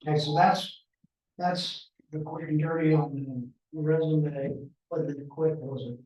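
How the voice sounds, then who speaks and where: Guy talking slow and loose at a town meeting, far off the mic, dull sound. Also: a little high, steady tone, darkish tone. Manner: slow, loose, hesitant explaining with many restarts. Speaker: candidate for town administrator role. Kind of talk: informal meeting talk. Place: meeting room. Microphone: room mic from a distance. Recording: echoey, distant, dull room recording.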